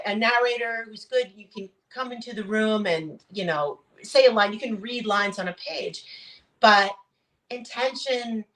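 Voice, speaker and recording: A woman's voice: fairly high pitch, fairly bright tone, rough recording. A woman speaking as a guest voice actor in a casual interview, earnest and reflective, often checking her points with a short tag question. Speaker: guest voice actor, audiobook narrator.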